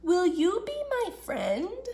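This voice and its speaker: A woman reading a children's storybook as a small, timid, playful young male fox, excitable and earnest. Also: very high voice, slow, voice pushed up, heavily acted.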